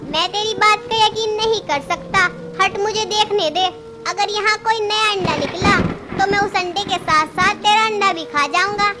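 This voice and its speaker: A woman's voice: extremely high pitch, normal speed. A woman voicing a greedy, menacing male hunting bird in a cartoon story. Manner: bullying, menacing.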